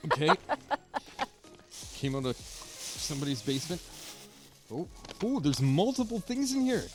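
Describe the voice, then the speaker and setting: Man, excitable, animated pitch, casual banter, faint background music. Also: a little slow. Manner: excitable, exclaiming. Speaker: show panelist opening a gift.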